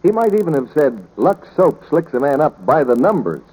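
A man's voice: a little high, very animated, moderate pace, mellow, breathy edge, low-quality recording. A male radio announcer delivering scripted 1940s announcements and sales patter. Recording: old 1940s radio broadcast.

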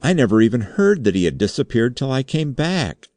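A reader acting a frantic craven man, pitched high. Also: sing-song, fairly quick, a little mellow, dry recording, natural voice. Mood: panicked, protesting. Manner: stammering, frantic, wailing. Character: terrified craven guilty man, an undersecretary, pleading. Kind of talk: audiobook character acting.

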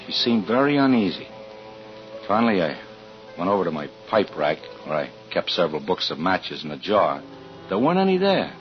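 An actor playing a suspicious, uneasy husband and father who narrates in a tense, halting, deliberate way in 1940s radio style. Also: sits low, very animated. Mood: brooding, tense. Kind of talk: 1940s radio acting with first-person narration. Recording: dull vintage 1940s radio with music.

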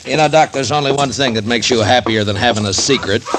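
An actor playing a patient, dry-humored frontier marshal in a 1950s radio western. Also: male voice, mid-range voice, fast, natural voice. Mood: wry. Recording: hissy 1950s radio broadcast.

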